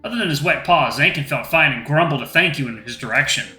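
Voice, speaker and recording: Lively man reading a story aloud. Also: fairly high pitch, fast. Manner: lively, casual asides to viewers. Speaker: gaming channel host. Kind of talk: reading a visual novel aloud. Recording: echoey room, faint music.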